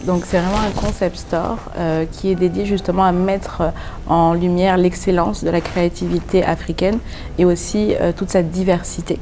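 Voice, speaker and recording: A woman's voice: fairly low pitch, fairly even intonation, normal speed, mellow, slight background noise. A woman from France with a French accent, a designer and boutique founder answering interview questions earnestly, with many hesitations as she explains at length. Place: pop-up boutique.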